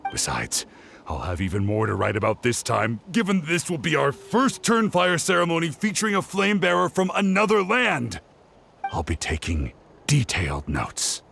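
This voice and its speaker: An actor playing an earnest, gracious writer in a video game, polite and reflective. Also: male voice, on the high side, big pitch swings, moderate pace, vocal fry, fairly bright tone, a little hiss or hum, full character voice. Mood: reflective, hopeful. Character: earnest, gracious male writer accepting a fresh start.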